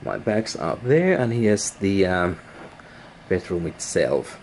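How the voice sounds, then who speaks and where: A man giving a casual, unscripted hotel room walk-through as a travel blogger, halting and repeating words, recorded on a handheld camera. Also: sits low, very animated, gravelly, darkish tone, a little hiss or hum. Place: indoors, moving around a hotel room. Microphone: handheld camera, operator behind it.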